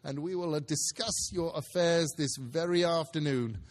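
An old miser turned joyful , acted by a male storyteller, speaks in a raised, hearty voice. He is excitable and emphatic, fond of putting on a mock-stern manner in this storybook tale. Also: on the high side, normal speed, natural voice. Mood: joyful, earnest. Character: old Scrooge, reformed miser, giddy, hearty, mock-growling. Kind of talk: dramatic storybook reading.

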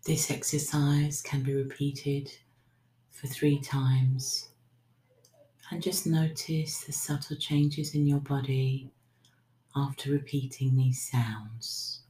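A woman leading a guided breathing meditation, giving soothing, unhurried instructions that sometimes trail into a whisper. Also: a bass voice, steady tone, warm tone. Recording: roomy, echoing sound.

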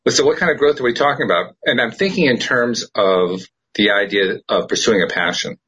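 A man hosting a casual podcast chat, reflective and quick to laugh as he gives life advice. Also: mid-range voice, a bit bright, husky, low-quality recording. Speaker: podcast host.

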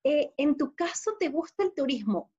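A woman co-host with a high, light voice asks her guest questions in a friendly way, pausing on fillers. The sound is dull and lo-fi. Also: animated, darkish tone. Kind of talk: casual talk show chat.

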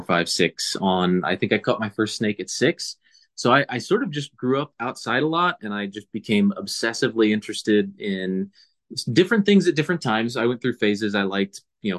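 A man from Indiana, a biology professor, answering interview questions in a thoughtful, rambling way with frequent restarts. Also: on the low side. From Indiana, USA. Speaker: guest biologist.

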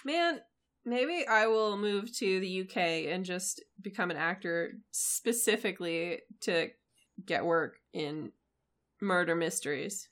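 A woman's voice: on the low side, lively intonation, slow, fairly bright tone. A woman co-hosting a podcast, chatting casually and making wry, joking asides. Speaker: podcast co-host.

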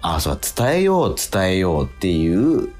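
Male radio host: very deep voice with animated intonation, earnest casual talk, lots of agreeing interjections, faint music underneath. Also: a little slow. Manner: earnest, self-questioning, with frequent affirming interjections. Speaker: radio show host and stage actor.

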